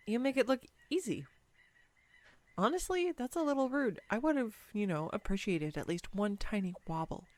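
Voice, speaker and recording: A woman playing a clumsy, excitable young woman on a first date in a roleplay audio, with a bubbly, hesitant, playfully teasing manner. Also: fairly high pitch. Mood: sheepish, teasing. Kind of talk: scripted girlfriend roleplay audio, intimate one-sided dialogue.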